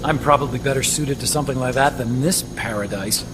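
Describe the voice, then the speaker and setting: A man's voice: medium pitch, brisk, loud music behind the voice, lightly acted. An actor playing an earnest, nerdy male scientist in a video game, eager and a little awkward. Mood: dejected. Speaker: video game supporting character. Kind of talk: dramatic video game acting.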